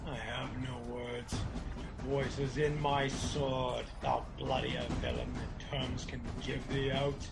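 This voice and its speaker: An actor playing a grieving, vengeful warrior lord in a Shakespearean radio drama, declaiming verse with fierce defiance. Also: male voice, medium pitch, flat delivery, gravelly. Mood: grim menace. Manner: slow, declamatory verse delivery. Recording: loud dramatic music behind the voice.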